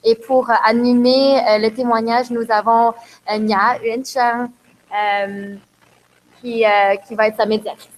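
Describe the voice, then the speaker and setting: A woman's voice: a little high, level tone, unhurried, a little hiss or hum, poor audio. A woman hosting a conference who introduces speakers in hesitant, stop-start speech full of fillers. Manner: hesitant, many fillers and restarts. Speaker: event host. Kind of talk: bilingual event introduction. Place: conference room.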